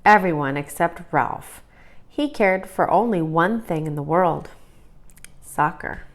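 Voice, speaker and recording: A woman's voice: low-pitched, takes it slowly. Woman reading a children's story aloud. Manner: expressive read-aloud storytelling. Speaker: storybook reader. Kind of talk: scripted children's story narration.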